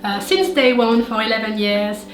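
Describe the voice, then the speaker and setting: A woman's voice: a little high, normal speed. A woman in her forties with a French accent, thoughtfully recalling her experiences as an interviewee and often restarting her sentences. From France. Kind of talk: personal reflection. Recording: roomy, distant mic, music behind.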